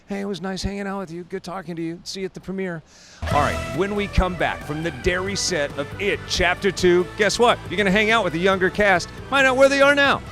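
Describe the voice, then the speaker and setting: A man's voice: high voice, a little fast, music in the background. A man hosting an entertainment show, interviewing actors and talking to the camera with jokey enthusiasm. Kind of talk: upbeat interviewer banter.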